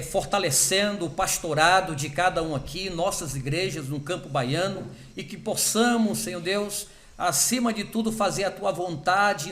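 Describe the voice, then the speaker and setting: A man's voice: high-pitched, fairly bright tone, slight background noise. A man serving as host pastor, reading scripture and praying in church with an earnest, emphatic delivery. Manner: earnest, emphatic, slowing on key words.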